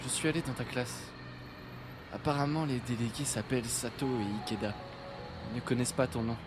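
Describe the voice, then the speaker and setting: Un homme jouant un ado timide et seul. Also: male voice, mid-range voice, steady tone, a little fast, music in the background, lightly acted. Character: shy lonely teenage boy, new in town. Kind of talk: French audio drama.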